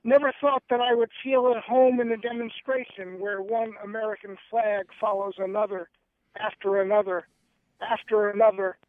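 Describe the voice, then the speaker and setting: A man's higher-pitched voice reaches the show through a tinny telephone line, dry and close with no room sound. He speaks thoughtfully, often restarting his sentences. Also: fairly even intonation, no echo. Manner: thoughtful, hesitant restarts; measured reading. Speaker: guest poet and activist. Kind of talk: radio interview and poetry reading.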